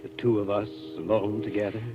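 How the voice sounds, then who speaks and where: An actor playing a folksy, persuasive hotel owner with a sinister edge, in old-time radio drama style. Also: male voice, fairly low pitch, level tone, brisk, music in the background, natural voice. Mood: coaxing. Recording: dull, lightly noisy vintage radio recording.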